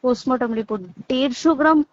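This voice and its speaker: A high-voiced young Bengali woman from West Bengal speaks in an impassioned, conversational way, insistent and indignant. She drives points home with rhetorical questions. The sound is a dull, lo-fi phone recording with a dry, close feel. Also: rapid-fire, dry recording.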